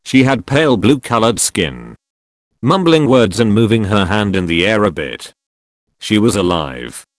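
A man narrating posts in an even, steady storytelling manner. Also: fairly low pitch, unhurried, dry recording, studio quality. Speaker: narrator reading online posts. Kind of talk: scripted narration.

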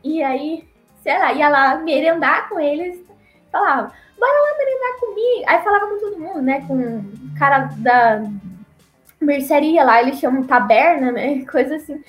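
A young woman from Brazil, chatting casually and laughing often as she tells stories, acting out the people she quotes in a higher voice. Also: expressive, normal speed, roomy sound, faint music behind, rough recording. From Paraná, southern Brazil. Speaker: guest, former missionary. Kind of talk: casual conversational storytelling.

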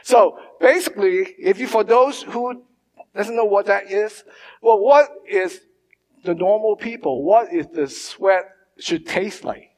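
Halting man preaching. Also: sits high, a little mellow. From Hong Kong. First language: Mandarin or Cantonese. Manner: halting, many fillers and restarts. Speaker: pastor. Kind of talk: sermon. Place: church hall. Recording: long church hall reverb.

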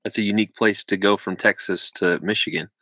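Deep-voiced co-host with short interjections. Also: male voice, steady tone, fairly quick, pushed and bright, no room sound. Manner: brief encouraging interjections. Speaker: podcast co-host. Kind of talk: casual interview hosting.

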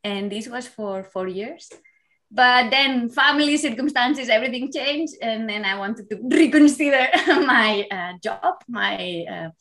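A woman, a lecturer talking candidly as a podcast guest, reflective and quick to laugh. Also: a little high, expressive, a bit bright. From Spain. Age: 30s. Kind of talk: casual interview chat.

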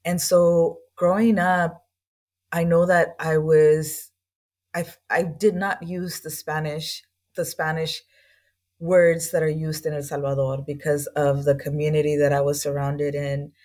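A woman's voice: low-pitched, flat delivery, unhurried, a little mellow. A woman from East Los Angeles, a guest on an interview podcast, telling her story in an earnest, reflective and loosely chatty way. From East Los Angeles, USA. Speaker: guest, language school founder.

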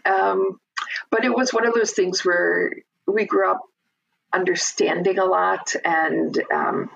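Gravelly, husky middle-aged voice from Chicago. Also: mid-range voice, steady tone, a bit bright, dull audio. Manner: unhurried, thoughtful, many "um" pauses. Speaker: interview guest. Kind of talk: casual conversational interview.

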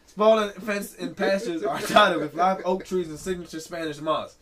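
A man's voice: high voice, moderate pace, a crowd in the background. A man co-hosting a casual podcast, reading a news story aloud and breaking off to joke and laugh. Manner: reads article aloud, breaks off laughing and joking. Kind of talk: casual comedic chat. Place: home studio livestream.

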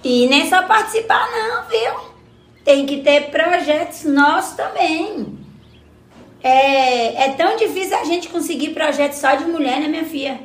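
A woman's voice: sits high, expressive, measured pace, echoey room. A woman playing a spirited, wise older grandmother with a Minas Gerais Brazilian accent, explaining things in a folksy, motherly way in a radio drama. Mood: emphatic, recalling. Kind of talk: scripted community radio drama.

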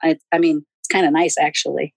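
A woman's voice: low voice, level tone, brisk, vocal fry, slightly rough, dry recording. A woman in her sixties, a podcast guest chatting casually and telling stories, laughing often. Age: 60s. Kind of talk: casual interview chat.

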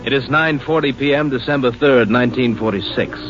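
A man's voice: medium pitch, a little fast. A man with an American accent giving scripted scene-setting narration for a 1950s radio drama. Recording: muffled old radio recording. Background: music.

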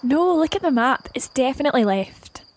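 A woman's voice: high-pitched, animated, moderate pace. A woman playing an assertive, teasing wife on holiday in a radio play for language learners. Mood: insistent, teasing. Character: assertive Scottish wife on holiday, bickering over directions.

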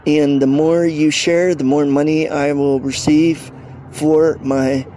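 A male podcast host speaks slowly in a fairly high, soft-edged voice with a dark, muted tone. He addresses listeners directly, and faint noise sits behind him. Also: level tone. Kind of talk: podcast sign-off announcement to listeners.

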